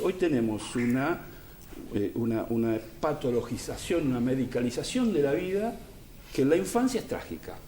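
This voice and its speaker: A man's voice: mid-range voice, mellow, echoey room, a little hiss or hum. A man, speaking as an education specialist on a panel discussion, earnest and emphatic, building his points through repetition.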